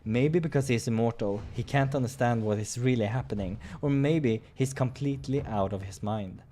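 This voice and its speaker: A man's voice: medium pitch, normal speed, dark tone, slight background noise. A man hosting a visual novel playthrough, reading narration dramatically and commenting casually on the game. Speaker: gaming playthrough host.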